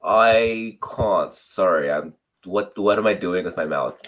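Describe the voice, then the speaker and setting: Low-voiced excitable podcast guest man. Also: a little slow. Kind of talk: casual chat.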